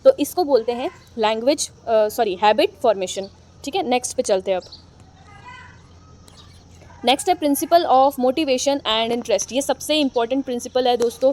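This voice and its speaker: A young Indian woman teaching an exam-prep lesson, chatty and encouraging, who reads lines slowly and then explains them. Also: a little high, slight background noise.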